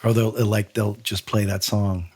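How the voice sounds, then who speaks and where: A man's voice: fairly low pitch, flat delivery, unhurried, darkish tone. A man hosting a podcast in a casual, informal way, talking in loose run-on anecdotes. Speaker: podcast host. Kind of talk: casual interview chat.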